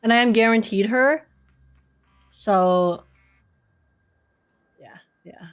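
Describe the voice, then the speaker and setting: Bubbly young woman streamer, high voice. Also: slow pace, clean tone. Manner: bubbly, giggly, chatty. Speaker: game livestreamer. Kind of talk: casual livestream chat, reading and answering viewer comments. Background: game music.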